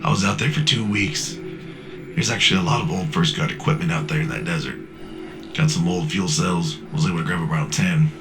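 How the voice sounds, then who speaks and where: A man playing a weathered older scavenger in a sci-fi audio drama, wry, profane and gravelly. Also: sits low, brisk, music in the background. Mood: matter-of-fact. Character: weathered older male wasteland scavenger on a respirator.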